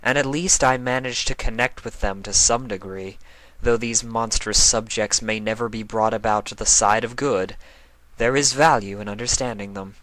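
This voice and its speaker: A weary pony princess, acted out by a man in a story reading, lifted in pitch. The delivery is introspective and dreary, with formal, brooding phrasing and a bright, close, dry sound. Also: fairly even intonation, moderate pace, dry recording, lightly acted, low-quality recording. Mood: wistful, self-reproaching. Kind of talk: dramatised fan fiction reading.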